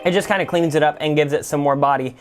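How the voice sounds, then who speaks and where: A man hosting a music production tutorial, explaining software casually and informally without a script. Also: a little high, fairly even intonation, fast. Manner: informal, explanatory, full of "like" and "kind of".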